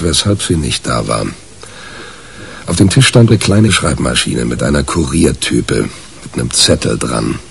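Wry, deep-voiced male narrator. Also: vocal fry, a little hiss or hum. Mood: wry, confiding. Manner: sardonic, colloquial. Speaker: narrator and main character. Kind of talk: German radio crime drama, first-person narration.

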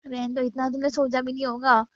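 High-pitched young woman on a muffled call. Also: flat delivery, brisk, dry recording. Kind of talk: intimate casual phone chat, brief replies. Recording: muffled lo-fi phone call recording.

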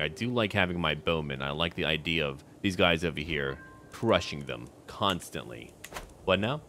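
A man's voice: deep voice, fairly bright tone, slight background noise. A man giving roleplay commentary on a strategy game, musing theatrically in character like a schemer. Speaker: gamer narrating a strategy game.

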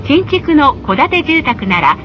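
A woman's voice: very animated, a little slow, highs cut off, faint music behind, a faint crowd. A woman reading scripted advertising announcements on a tram in a polite, polished sales delivery. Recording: noisy in-vehicle recording with tram rumble. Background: tram running noise.

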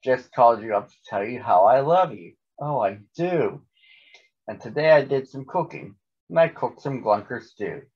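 A man's voice: medium pitch, lively intonation, moderate pace, breathy edge, muffled recording. A man voicing a smug, chatty male storybook monster who gabs breezily and is devoted to his mother. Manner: gabbing and jabbering, breezily dismissive.

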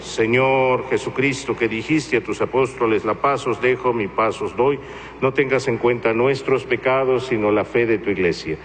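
A male priest recites the liturgy in a steady, solemn voice at a middling pitch. His words ring through the long reverb of a large church, and a clear layer of noise sits under the recording. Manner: measured, solemn recitation, partly chanted. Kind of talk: liturgical prayer at Mass.

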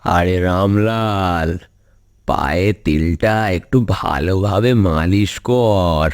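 A man's voice: low-pitched, extremely slow, dry recording, clean studio sound, full character voice. A man voicing a scheming, self-important middle-aged landlord in a cartoon, with a lordly drawl. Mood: smug, scheming. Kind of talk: cartoon voice acting.

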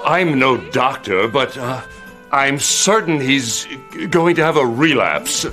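An actor playing a sly, sardonic middle-aged royal chancellor in a campy fantasy game scene. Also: male voice, mid-range voice, lively intonation, takes it slowly, crowd noise. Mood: smoothly insincere. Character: scheming, sneering royal chancellor villain, middle-aged man. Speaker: actor in live-action game cutscenes. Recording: music behind the voice.

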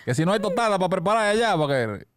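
A man's voice: high-pitched, racing, no room sound. A Dominican man in his thirties hosting a radio show with casual comic banter on a close studio microphone. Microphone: close broadcast microphone with headset.

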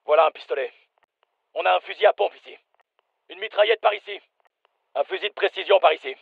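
An actor playing a tough, no-nonsense special forces soldier in a shooter game, giving terse, matter-of-fact callouts. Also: male voice, high-pitched, fairly quick, vocal fry, husky, no room sound, narrow, tinny audio, full character voice. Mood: businesslike. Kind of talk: military shooter game voice lines, French dub.

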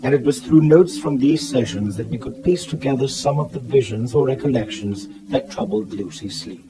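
A sober male doctor, played by a man recounts case notes in a radio drama. His voice is medium in pitch, with clear ambient noise behind it. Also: moderate pace, lightly acted. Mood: sober, ominous. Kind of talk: dramatized narration.

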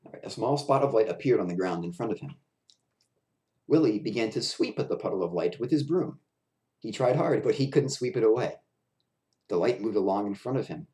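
A man's voice: fairly low pitch, expressive, a little fast, dark tone. A man in his thirties reading a children's story aloud in a small room at home. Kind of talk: children's picture-book read-aloud. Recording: roomy echo of a bare room.

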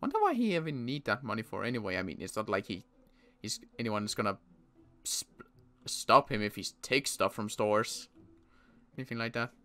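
A young man playing a protective survivor in an in-character roleplay, talking in a halting way with frequent restarts. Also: lowish voice, very animated, sharp tone. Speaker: roleplay series player.

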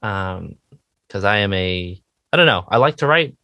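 A man's voice: on the low side, lively intonation, normal speed, sharp tone, no room sound. A man speaking as a musician guest in a casual interview, hesitant and self-deprecating in how he talks. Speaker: musician guest, indie rock songwriter.

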